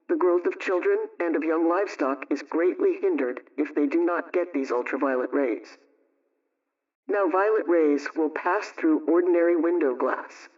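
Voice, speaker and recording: Actress as female homemaking adviser for a vintage radio talk: instructive, measured, practical, with dull, distant sound. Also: deep voice, a little mellow, close to an everyday voice. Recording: muffled radio sound, off mic.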